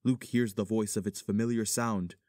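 A man's voice: on the low side, steady tone, normal speed, a little mellow, no room sound, studio quality. A man giving scripted dramatic narration in old-fashioned verse as the chorus of a play.

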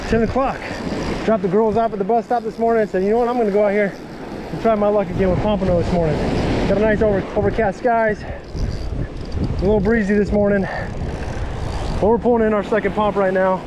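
A man talking casually to viewers in an excitable, folksy, slangy way, like a fishing vlogger, recorded outdoors on an action camera. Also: sits high, a little fast, long pauses, dull audio. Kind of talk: casual fishing vlog commentary. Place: outdoors on an open sea beach. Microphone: body-worn action camera. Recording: windy beach, surf and wind noise. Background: wind and breaking waves.

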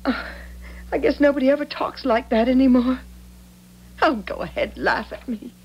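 An actress playing a jilted, bitter young small-town mistress in a 1970s radio drama, pleading tearfully and repeating herself. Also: female voice, sits high, expressive, moderate pace, husky, heavily acted. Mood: hurt, tearful. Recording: dull 1970s radio recording.

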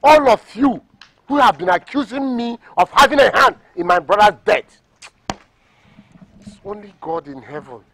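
A man's voice: high-pitched, very animated, normal speed, fairly bright tone, slightly rough, no room sound, heavily acted, rough recording. An actor with a Nigerian accent playing a defiant, scheming man in a film drama, speaking emphatically and repeating himself. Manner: emphatic, repetitive. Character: defiant, scheming man, self-styled progressive, quick to swear oaths.

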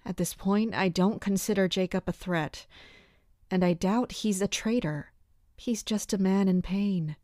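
Casting: actress as composed, guarded, caring woman for audio drama, unhurried delivery, mid pitch, dry close sound. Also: no echo, close to an everyday voice. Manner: calm, measured, gentle. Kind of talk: audio drama acting with first-person narration.

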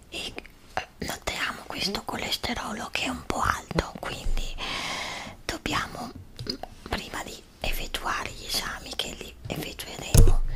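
A woman whispers slowly, close to the microphone. She plays a doctor in an ASMR roleplay, giving instructions to a patient in a gentle, hesitant way. Her delivery is hushed and unhurried throughout. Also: on the high side. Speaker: ASMR performer playing a doctor. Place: small indoor setup with dark backdrop. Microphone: desktop condenser microphone, close up.

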